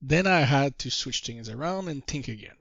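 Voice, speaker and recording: A man with a French Canadian accent, a native French speaker, presenting to a group call in halting English and telling his story with repeated refrains. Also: mid-range voice, lively intonation, a bit bright, dry recording, highs cut off. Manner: self-deprecating, halting English. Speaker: trading course presenter.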